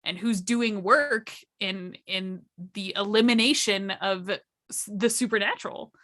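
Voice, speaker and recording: A woman's voice: medium pitch, a little slow, a bit bright. A woman talking as a panelist in a casual, unscripted discussion, explaining things with enthusiasm. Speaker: workshop panelist.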